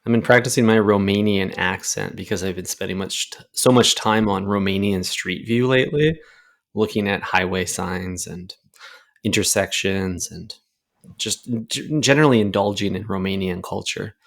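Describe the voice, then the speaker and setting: A young man with a lowish, gravelly voice co-hosts a comedy podcast. He rambles through jokes in relaxed chat and laughs often. His voice has a rough, creaky texture. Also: normal speed. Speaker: podcast co-host.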